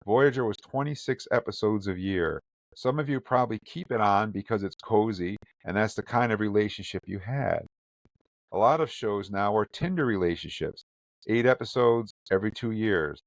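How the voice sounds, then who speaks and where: A man's voice: fairly low pitch, normal speed, no room sound. A man hosting a fan podcast, chatting casually and reading news items aloud. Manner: chatty, reads articles aloud with quotes. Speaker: podcast host.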